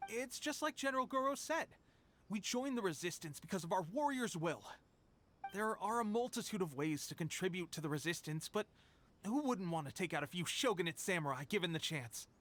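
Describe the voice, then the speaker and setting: An actor voicing an eager, proud young soldier in a video game, speaking in an earnest, declamatory way. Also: male voice, high-pitched, a bit bright, a little hiss or hum.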